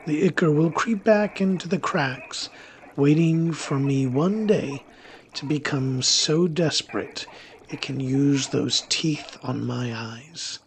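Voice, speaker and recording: A man's voice: a little high, takes it slowly, slight background noise. A man acting as a sardonic warlock on the run, narrating an audio drama in a theatrical, literate, wry manner. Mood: grim, menacing.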